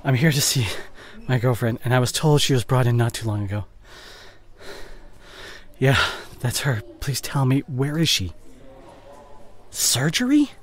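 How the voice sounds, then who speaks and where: Man acting as an evasive boyfriend, swinging pitch. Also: very animated, unhurried, long pauses. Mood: confused, worried, then panicked, distraught. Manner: defensive, hesitant, sighing. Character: evasive drinking boyfriend, dismissive then guilt-stricken. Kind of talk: audio roleplay acting, one-sided conversation.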